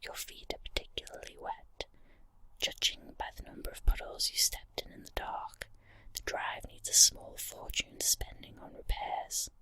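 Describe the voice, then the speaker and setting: A woman whispering a scripted ASMR guided story in a breathy, unvoiced voice, in short deliberate phrases with pauses. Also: pushed and bright. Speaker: ASMR narrator.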